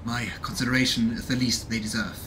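A man voicing a noble, considerate starship captain from a video game in a measured, dignified manner. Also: medium pitch, steady tone, noisy background, close to an everyday voice. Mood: calm, dignified.

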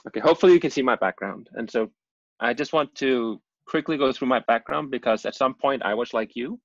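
A man in his thirties from Denmark giving a casual, self-deprecating talk over a video call, often pausing with ums. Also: medium pitch, dry recording. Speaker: guest presenter, engineering professor. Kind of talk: casual lecture. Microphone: computer mic on a video call. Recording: dull, lo-fi video call audio.

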